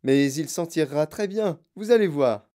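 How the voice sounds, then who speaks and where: An eccentric, theatrical chocolate maker, acted out by a woman reading aloud waves away every worry. He talks in an airy, emphatic way with lively swings of pitch. The voice sits a touch raised over a warm, mellow tone. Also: moderate pace. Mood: soothing.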